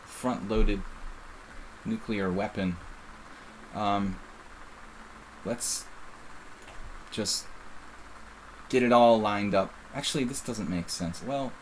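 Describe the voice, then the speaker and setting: Man, middle-aged, faint Philadelphia accent, lowish voice, casual rambling game commentary, slight background hiss. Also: takes it slowly, pauses often, poor audio. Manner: casual, rambling, self-interrupting. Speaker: chess streamer. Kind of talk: live chess commentary.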